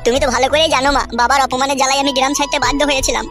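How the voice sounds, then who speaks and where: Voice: sits high, level tone, rapid-fire. A performer voicing a cheeky, impatient young village man in a Bengali comedy cartoon, with brash, exasperated back-talk. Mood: earnest. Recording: music behind the voice.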